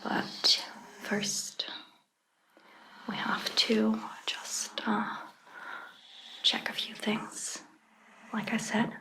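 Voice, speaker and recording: A woman speaking in a breathy, gentle ASMR roleplay style as a caring, reassuring vampire doctor, with hesitant pauses. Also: mid-range voice, monotone, rough recording. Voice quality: breathy, whispery. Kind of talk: soft-spoken ASMR roleplay.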